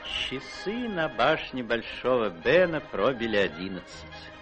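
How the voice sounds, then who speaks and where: Male storyteller, radio play narration. Medium pitch, lively intonation, music bed underneath. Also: measured pace. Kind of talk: theatrical scripted narration.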